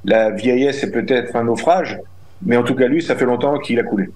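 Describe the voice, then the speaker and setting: A man's voice: mid-range voice, a little fast, a little mellow. A middle-aged man answering interview questions as a guest, emphatic and indignant, often restarting his phrases. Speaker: guest, community representative.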